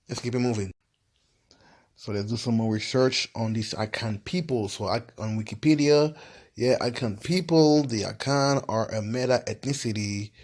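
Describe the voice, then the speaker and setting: A man from Ivory Coast casually talking through research as he reads from websites, filling gaps with "yeah" and "you know what I'm saying". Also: mid-range voice. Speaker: video host.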